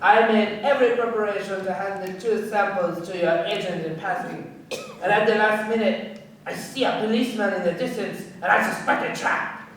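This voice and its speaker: An actor playing a flustered, cornered French smuggler in a radio play, formal and pleading, with a French accent. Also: male voice, high voice, normal speed, a bit bright, slight background noise. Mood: desperate, pleading. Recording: echoey room, far from mic.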